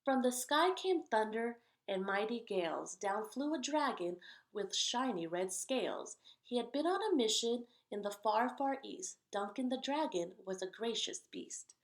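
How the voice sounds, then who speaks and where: A woman's voice: fairly high pitch, expressive, moderate pace. Woman reading a children's picture book aloud. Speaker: children's story read-aloud host. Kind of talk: picture book read-aloud with casual asides.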